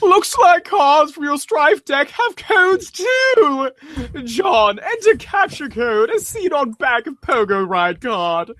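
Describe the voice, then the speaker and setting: A man voicing an eccentric millionaire in a campy, drawn-out, exaggerated way as he barks commands in an amateur readers' theater. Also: very high-pitched, big pitch swings, bright, forceful tone, no echo, voice pushed up, heavily acted. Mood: imperious.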